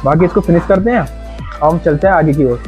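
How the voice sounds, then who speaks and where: A man's voice: a little high, brisk, a little mellow, faint music behind. A man casually vlogging on location, chatting with people and guiding viewers around outdoors. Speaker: travel vlogger. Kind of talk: casual on-location travel vlog. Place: outdoors in a busy hill town. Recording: muffled lo-fi outdoor recording.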